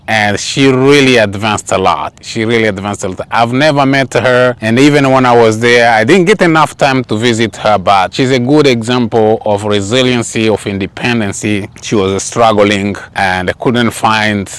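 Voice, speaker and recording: A male travel vlogger who grew up speaking Swahili, with a smooth, clear voice at a medium pitch. He talks casually and rambles along, often repeating a phrase to stress it. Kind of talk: casual vlog talk.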